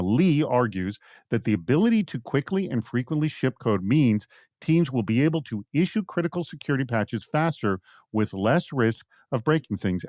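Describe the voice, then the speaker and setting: A man's voice: medium pitch, a little mellow, no room sound, studio quality. A man hosting a panel podcast, reading out prompts and putting questions to guests. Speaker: podcast host.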